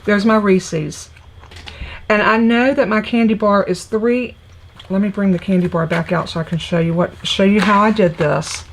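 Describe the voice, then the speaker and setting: A woman's voice: mid-range voice, fairly even intonation, normal speed. A woman with a scratchy, congested voice, chattily explaining a papercraft project step by step as she works. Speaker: papercraft demonstrator. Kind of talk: live crafting tutorial.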